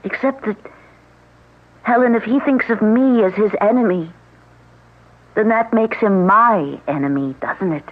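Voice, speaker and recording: An actress playing a recently widowed woman, hesitant and soft-spoken, in a 1970s radio drama. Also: medium pitch, a little slow, pauses often, lightly acted. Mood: anxious. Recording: narrow-band old radio broadcast.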